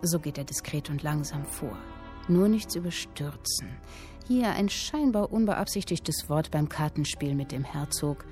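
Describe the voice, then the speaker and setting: A woman performing literary narration in a radio drama, telling a historical tale in a deliberate, dramatic way with clipped phrases. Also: deep voice, dark tone, close to an everyday voice. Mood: measured, sly. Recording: music under the voice. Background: music with violin.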